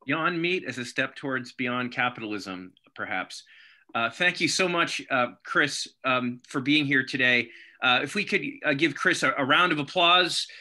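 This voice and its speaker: A man hosting a video call event, moving things along in a friendly, brisk way. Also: mid-range voice, pushed and bright. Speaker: host.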